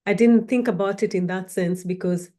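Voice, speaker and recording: A woman from Kenya speaks in a measured, reflective way, introducing herself as a researcher and storyteller in a personal talk. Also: medium pitch, fairly even intonation, warm tone. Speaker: academic researcher and guest speaker.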